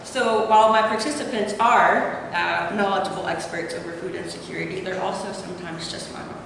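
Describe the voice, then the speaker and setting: A woman's voice: fairly low pitch, steady tone, fairly quick, vocal fry. Appalachian woman, echoey distant hall. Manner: informal, many filler hesitations. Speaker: doctoral student presenting research. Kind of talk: academic presentation. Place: lecture room. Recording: echoey hall taken from afar with noise.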